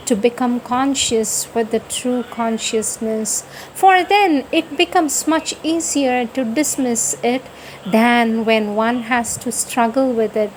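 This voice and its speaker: A woman reading spiritual texts aloud in a deliberate, devotional style, phrase by phrase. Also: fairly high pitch, slight background noise, poor audio. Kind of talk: slow devotional reading of scripture.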